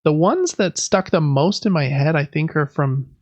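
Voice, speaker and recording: A man's voice: fairly high pitch, animated, normal speed, darkish tone. A man chatting casually as a podcast host. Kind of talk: casual chat about video games.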